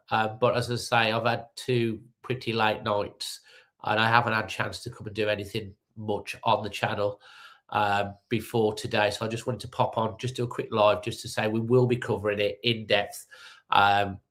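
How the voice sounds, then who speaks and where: Brief: stuffy-nosed middle-aged man, low and flat, chatty um-filled fan update. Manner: rambling, many ums and restarts. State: stuffy nose from a cold. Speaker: fan channel host. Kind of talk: casual live-stream update.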